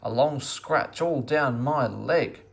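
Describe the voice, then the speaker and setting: A man's voice: medium pitch, takes it slowly, vocal fry. A man voicing a well-meaning, bumbling and easily flustered fellow in a children's storybook. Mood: indignant. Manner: fussy, eager to help. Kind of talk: children's storybook read-aloud.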